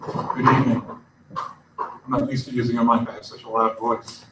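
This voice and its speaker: A man giving an informal address to his congregation, earnest and conversational, pausing now and then to find his place. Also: medium pitch, little pitch movement, background noise. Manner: earnest, conversational, pauses to look things up. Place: synagogue sanctuary. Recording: echoey, distant, dull live stream.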